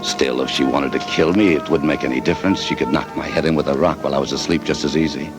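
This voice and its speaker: An actor playing a hard-bitten, wary American adventurer in a 1940s radio drama, speaking in a tough, clipped, slangy way. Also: male voice, extremely low pitch, fairly even intonation, quick pace. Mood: brooding, tense narration. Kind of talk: 1940s radio drama acting with first-person narration. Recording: vintage 1940s radio recording with music.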